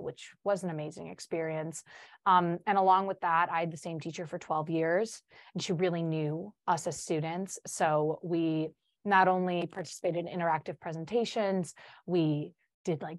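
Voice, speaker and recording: Young adult woman, native Polish speaker, upbeat podcast guest; lower voice, dry close recording. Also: no echo. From Chicago, United States. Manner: chatty and upbeat. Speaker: guest, college senior studying to teach. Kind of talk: casual podcast conversation.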